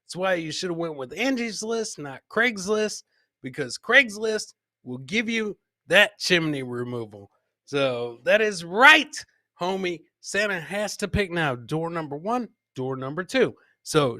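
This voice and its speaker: A man's voice: high voice, animated, measured pace, pushed and bright, dry recording, studio quality. A man hosting a live comedy podcast, giving casual, rambling commentary on clips and repeating his catchphrases.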